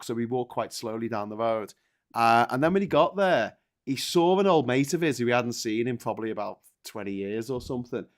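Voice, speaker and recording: A middle-aged man with a Liverpool accent talks in casual banter on an online panel. He is wry and sweary, telling anecdotes and cracking jokes in a mid-pitched voice. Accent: Liverpool English. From Liverpool, England. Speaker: panelist on a live online chat show.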